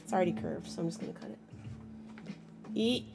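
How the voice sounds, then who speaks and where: A hoarse woman with a husky voice, sick with a chest illness, rambling offhandedly while crafting on a live stream. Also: lowish voice, a little fast, music in the background. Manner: rambling, offhand, self-deprecating. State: hoarse, sick with bronchitis and pneumonia. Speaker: mixed media artist streaming live. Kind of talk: casual livestream chat while crafting.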